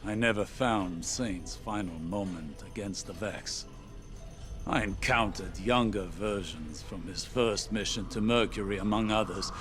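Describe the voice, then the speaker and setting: A male actor voices a somber, resigned mentor who walks through time in a video game. He speaks in a lower-pitched voice, very slowly and deliberately, with solemn weight on his phrases. Prominent music plays behind him. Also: lightly acted. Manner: solemn, deliberate, portentous delivery. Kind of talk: dramatic scripted video game cutscene monologue.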